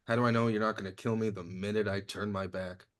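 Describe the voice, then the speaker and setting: A man in a comedy script table read playing an earnest, work-minded agent who speaks plainly and a little haltingly. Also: on the low side, steady tone, natural voice. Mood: earnest, wary. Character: earnest, work-minded male secret agent, uneasy partner.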